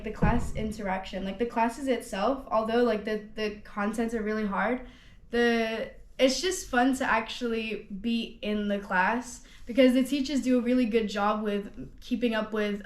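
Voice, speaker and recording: A nineteen-year-old woman from Hong Kong, a student ambassador, chatting casually about her studies with many "like"s and restarts. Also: a little high. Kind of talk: casual testimonial interview. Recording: roomy echo.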